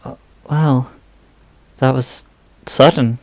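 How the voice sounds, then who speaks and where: A man playing an amiable but worried, practical character in a fan audio drama, speaking haltingly. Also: medium pitch, steady tone. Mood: polite, awkward. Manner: hesitant, halting.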